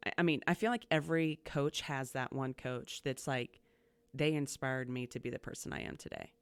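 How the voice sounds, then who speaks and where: A woman hosts a casual podcast, chatty and upbeat, stumbling into quick restarts as she asks her questions. Her voice is low and gravelly yet smooth. The sound is dry, with no room echo. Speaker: podcast host, community college coach. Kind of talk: casual interview conversation.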